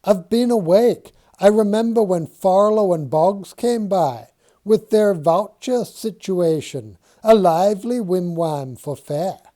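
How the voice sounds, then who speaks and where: A man voicing an earnest, easily startled Quaker surveyor who speaks in archaic thee-and-thou English, loud and agitated. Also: high-pitched, takes it slowly, pitched above the performer's own voice. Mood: insistent.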